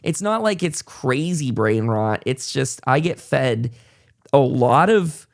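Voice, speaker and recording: A man's voice: medium pitch, lively intonation, slow, vocal fry. A man hosting a podcast in a casual, conversational way, informal and encouraging as he rambles along. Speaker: podcast host.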